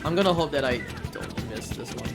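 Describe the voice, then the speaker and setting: Higher-voiced man commentating over game music. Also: expressive, fairly quick. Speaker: video game speedrunner. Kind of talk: live gameplay commentary, casual chat. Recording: game music playing behind voice. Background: video game music and sounds.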